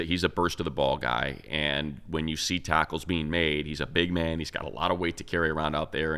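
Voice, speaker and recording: A man's voice: extremely low pitch, pushed and bright, clear, resonant tone. A man hosting a sports show, giving casual, emphatic football analysis in conversation.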